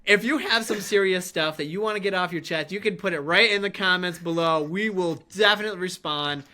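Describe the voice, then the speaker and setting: A man's voice: high-pitched, a little fast, pushed and bright. A man hosting a casual podcast chat about writing, speaking in an effusive, informal manner. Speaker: podcast host and writer.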